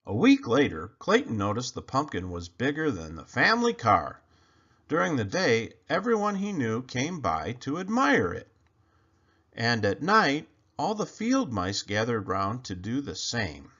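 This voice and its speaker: A man reading a children's storybook aloud in an expressive, emphatic storytelling manner. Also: mid-range voice, unhurried, highs cut off. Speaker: storybook reader.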